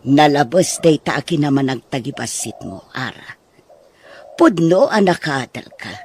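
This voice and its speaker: A woman playing a stern middle-aged mother in an Ilocano audio drama, with a husky voice and an Ilocano accent. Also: deep voice, animated, a little slow, darkish tone, slight background noise. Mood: admonishing. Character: stern, admonishing mother of grown daughters.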